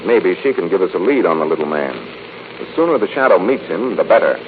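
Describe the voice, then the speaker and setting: An actor playing a suave, quick-witted gentleman sleuth in 1940s radio drama style, wry and unruffled. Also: deep voice, animated. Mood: calm, resolved. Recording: noisy, narrow-band 1940s radio recording.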